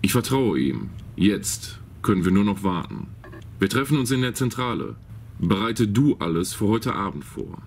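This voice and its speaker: An actor playing a scheming villain boss who gives orders in a parody radio play. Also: male voice, lowish voice, sing-song, normal speed, gravelly, slight background noise. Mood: commanding. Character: scheming villain boss, a minister plotting world domination.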